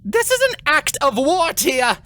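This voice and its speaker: Woman voicing a high, defiant young alicorn princess. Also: very animated, a little slow, a bit bright, exaggerated voice. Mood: furious, urgent. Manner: growling, urgent, defiant. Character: defiant, hot-headed younger alicorn princess, wounded and vengeful. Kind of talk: dramatic fan fiction reading.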